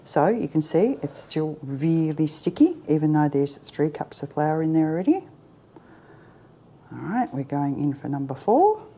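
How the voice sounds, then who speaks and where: A middle-aged woman chatting her way through a baking tutorial in her home kitchen, self-deprecating and often dropping to a soft near-whisper. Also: deep voice, big pitch swings, a little slow. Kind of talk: casual cooking demonstration.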